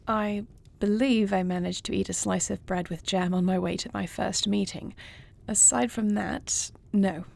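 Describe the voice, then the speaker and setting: A woman playing an affectionate, dutiful queen in an intimate fantasy audio roleplay, speaking softly and formally with measured, murmuring diction. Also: medium pitch, creaky, husky. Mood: weary, composed. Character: affectionate, dutiful dragon queen, newly wed to a princess.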